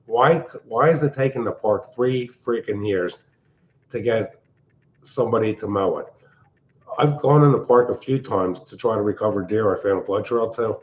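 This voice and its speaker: An older man, hosting a casual livestream from his open-plan home, talking in a loud, blunt, rambling way. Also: fairly low pitch, moderate pace, muffled recording, a little hiss or hum. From Pennsylvania. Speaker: livestream host. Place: large open-plan house at night.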